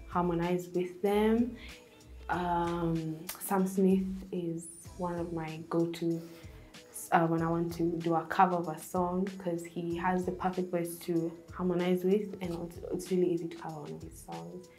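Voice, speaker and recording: A young woman from Kenya, a singer, answering interview questions in a relaxed, chatty way with lots of "like" and "um". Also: lowish voice. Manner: relaxed, chatty, many fillers like "like" and "um". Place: indoors, seated before a stone-look backdrop.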